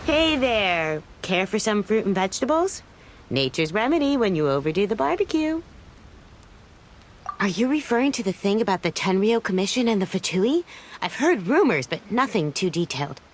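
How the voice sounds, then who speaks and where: A woman's voice: medium pitch, sing-song, moderate pace, a little hiss or hum, natural voice. An actress playing a chatty, friendly female produce seller in a video game who likes to confide.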